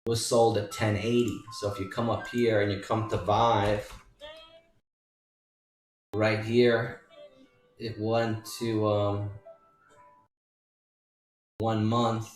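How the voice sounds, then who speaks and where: Slow-talking man in an echoey room. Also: lowish voice, flat delivery. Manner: emphatic, repetitive, addressing viewers as folks. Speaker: stock trading educator and newsletter writer. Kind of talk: informal stock chart walkthrough. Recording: roomy echo, distant mic, faint music.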